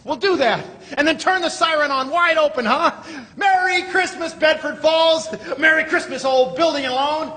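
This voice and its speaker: Elated family man, very high pitch, bright forceful tone, stammering excitement, vintage radio play style, reverberant theater. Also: normal speed. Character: exuberant, overjoyed family man, hero of a Christmas fable. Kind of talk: old-time radio drama acting before an audience. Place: live theater stage. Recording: reverberant theater stage recording.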